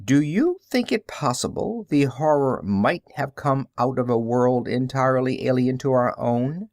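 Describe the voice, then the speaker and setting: A man's voice: medium pitch, expressive, a little slow, a little mellow, no echo, clean studio sound. A man voicing an impatient old male scientist in an audiobook, snapping and emphatic as he probes. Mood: snapping, intense.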